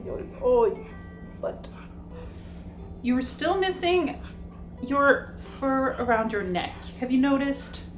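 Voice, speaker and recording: Chatty woman vlogger. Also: fairly high pitch. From Sweden. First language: Swedish. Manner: chatty, excitable, laughs often. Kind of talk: casual chat to camera.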